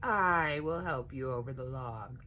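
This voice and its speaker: A woman voicing a big hungry male alligator in a storybook, coaxing in a drawn-out, falsely kindly manner. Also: extremely low pitch, pushed and bright, slight background noise, exaggerated voice. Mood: sly, coaxing.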